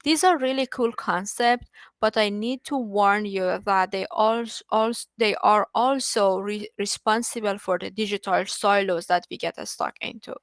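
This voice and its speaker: A woman's voice: medium pitch, fairly even intonation, a little slow, no echo. A woman whose first language is Persian, presenting an online technical lecture in an explanatory way, with frequent restarts and filler words. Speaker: study group presenter.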